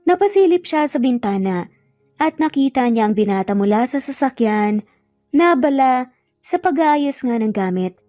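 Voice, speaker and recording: A woman's voice: high voice, animated, normal speed, warm tone, no room sound, clean studio sound. A woman reading a scripted romance story as its narrator. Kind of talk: scripted narration of a Tagalog romance audio story, voicing the characters' dialogue. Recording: muffled lo-fi audio, music bed behind. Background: background music.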